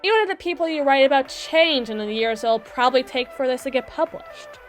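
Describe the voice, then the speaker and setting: A woman playing a brisk, condescending mermaid lawyer in a scripted ASMR roleplay, articulate and pointed, lecturing with deliberate dramatic pauses and dry wit. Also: high-pitched, natural voice. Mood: pointed, probing. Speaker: audio roleplay performer. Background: background music.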